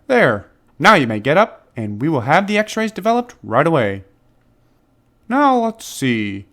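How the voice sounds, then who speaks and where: A man voicing a reassuring male doctor in a children's storybook, brisk and matter-of-fact as he explains. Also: a little high, big pitch swings, a bit bright, pitched above the performer's own voice, exaggerated voice. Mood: businesslike, reassuring.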